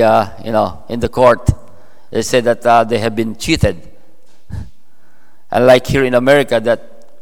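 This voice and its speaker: A man from the Philippines with a Filipino accent, preaching a sermon in a halting way, full of fillers and restarts. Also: medium pitch. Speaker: pastor. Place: church hall. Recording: long hall reverb.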